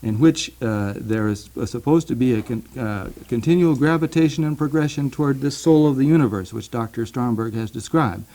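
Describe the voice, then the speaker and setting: A man speaking as a guest in a thoughtful radio discussion, hesitant and halting as he works through ideas. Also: mid-range voice, dark tone. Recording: 1950s archival radio recording.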